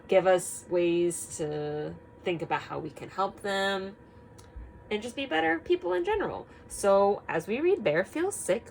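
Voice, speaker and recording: A woman teaching young children through an expressive read-aloud, prompting them with frequent simple questions. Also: fairly low pitch, slight background noise, poor audio.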